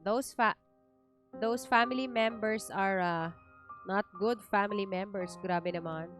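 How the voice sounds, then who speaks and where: Filipino woman, unhurried pace, casual game-stream reactions, faint music behind. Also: medium pitch. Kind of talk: casual reaction commentary over gameplay. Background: game music and dialogue.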